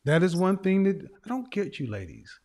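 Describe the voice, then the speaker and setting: Blunt, forceful man hosting a show. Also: high voice, very animated, moderate pace. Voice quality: big voice. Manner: blunt, interrupting, repeats phrases. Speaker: show host. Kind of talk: live call-in show talk.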